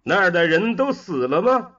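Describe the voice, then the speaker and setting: A man's voice: sits high, very animated, moderate pace, pushed and bright, muffled recording, full character voice. A man playing an immortal, disembodied human mind, curious and melancholy, in a science fiction audio drama. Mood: sad, troubled.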